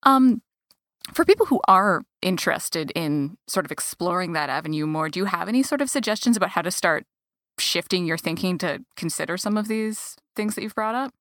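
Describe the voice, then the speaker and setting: A woman's voice: lowish voice, lively intonation, brisk, fairly bright tone, dry recording, clean studio sound. A woman interviewing a guest in a conversational style, putting questions to them.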